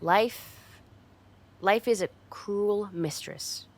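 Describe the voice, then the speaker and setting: A woman's voice: mid-range voice, extremely slow. A woman playing a tough but secretly caring delinquent girl in an ASMR roleplay, talking bluntly and teasingly to the listener. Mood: stern encouragement. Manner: blunt, teasing, streetwise, with hesitant softening.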